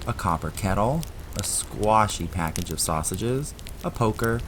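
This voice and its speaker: A man's voice: fairly low pitch, expressive, a little slow, background noise. A man reading scripted narration for an audio drama, as the storyteller.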